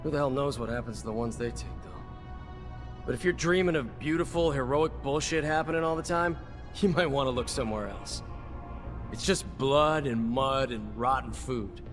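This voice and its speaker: A man's voice: mid-range voice, normal speed, lightly acted. Bitter, sardonic soldier played by a man, music behind. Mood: grim, resentful. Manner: gruff, sardonic, blunt, swearing. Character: bitter, cynical male veteran soldier. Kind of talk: video game dialogue acting.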